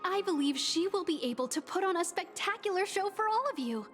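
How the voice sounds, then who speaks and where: A woman's voice: very high-pitched, expressive, a little fast, fairly bright tone, soft background music. A woman playing a courteous, formal hotel receptionist in a video game. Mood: puzzled, reassuring.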